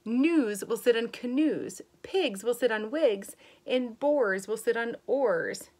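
A bold, playful storybook frog voiced by a woman that chants silly rhymes in a slow, sing-song way. The voice sits high and bright. Every rhyme is stressed. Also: lively intonation.

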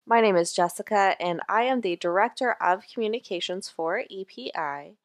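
An American woman from North Carolina hosting a webinar in a scripted, orderly, clear-worded way. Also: medium pitch, moderate pace, no room sound, studio quality. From mountains of North Carolina, USA. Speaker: webinar host, communications director.

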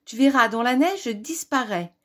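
Friendly young storybook she-cat, voiced by a woman: curious, playful, childlike, fairly high. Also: lively intonation, normal speed.

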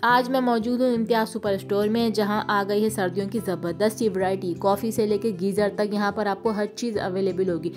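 A woman with a Pakistani Urdu accent, narrating a store-tour vlog and listing prices in a steady, informative voice. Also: medium pitch, a little fast, hardly any pauses. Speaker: shopping vlogger. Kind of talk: vlog voice-over. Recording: voice-over with faint music behind.

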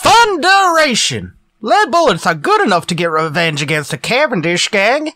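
A man's voice: high voice, wildly swooping pitch, normal speed, pushed and bright, no echo, heavily acted. A man playing a folksy old prospector and former ranger in a western radio drama, with an exclamatory frontier way of talking. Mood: surprised, agreeable.

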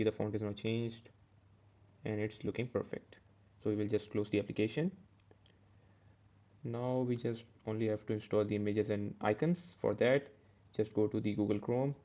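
A man's voice: on the low side, little pitch movement, moderate pace, pauses often, mellow, highs cut off. A man teaching a step-by-step coding tutorial over a screen recording, correcting himself as he goes. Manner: step-by-step, self-correcting with "uh" and "okay". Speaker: programming tutor.

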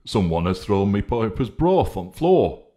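A man's voice: fairly low pitch, very animated, moderate pace, mellow, voice pushed up. A man voicing an earnest male character who exclaims with mild alarm. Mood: shocked. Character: earnest plain-spoken male empath.

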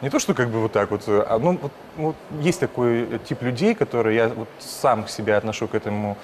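A man in his thirties from Ukraine, a singer answering interview questions in a hesitant way, with pauses and restarts. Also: medium pitch, slight background noise. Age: 30s. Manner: hesitant, soft-spoken, many pauses and restarts. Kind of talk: casual interview talk.

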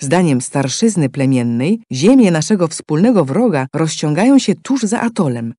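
Solemn, mellow male game narrator. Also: fairly high pitch, sing-song, normal speed, no room sound, clean studio sound. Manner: solemn, declamatory storytelling. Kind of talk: scripted dramatic narration.

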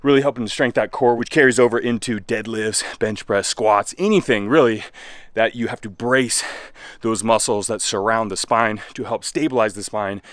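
A man's voice: lowish voice, moderate pace, fairly bright tone, dry recording. Chill gym bro coach in his 30s, hyped but easygoing, dry close mic. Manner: laid-back, enthusiastic, says "baby". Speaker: fitness coach. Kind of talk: workout voice-over instruction. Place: outdoor home gym by a garage.

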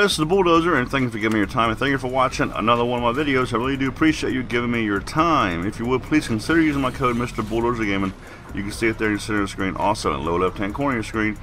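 A man's voice: medium pitch, animated, racing, hardly any pauses, bright, forceful tone, slight background noise. A man giving casual, plain-spoken game walkthrough commentary as a video host while getting over a cold.

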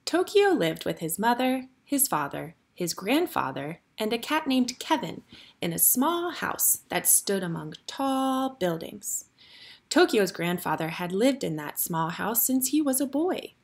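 Slightly husky woman reading a storybook. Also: mid-range voice, big pitch swings, unhurried. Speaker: children's storybook reader. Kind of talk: read-aloud narration for young children.

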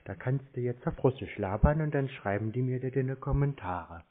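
A man bantering casually on a phone call in a mocking, deadpan way. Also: medium pitch, moderate pace. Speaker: host of a scam-baiting show, prank caller. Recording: narrow tinny phone-line sound.

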